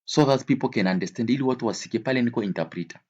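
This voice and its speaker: A man's voice: mid-range voice, racing, darkish tone, dull audio. A man teaching an English vocabulary lesson in a didactic way, repeating key words and switching between languages.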